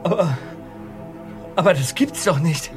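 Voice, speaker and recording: A man's voice: fairly high pitch, lively intonation, a little slow, soft background music. An actor playing a startled, disbelieving teenage boy detective in an audio drama, stammering and repeating words. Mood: shocked, disbelieving. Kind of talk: German audio drama acting.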